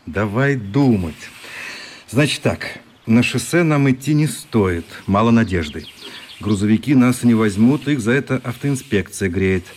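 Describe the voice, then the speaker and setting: A man playing a friendly, adventurous, comradely traveller in a radio play, brisk and good-humoured. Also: on the low side, animated, a little mellow. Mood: decisive.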